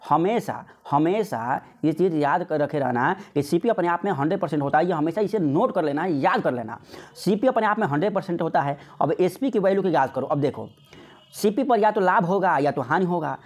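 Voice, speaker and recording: A man's voice: fairly high pitch, lively intonation, brisk. A man teaching an informal exam-prep maths lesson step by step, often repeating himself and answering his own questions. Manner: repetitive, asks questions and answers them himself.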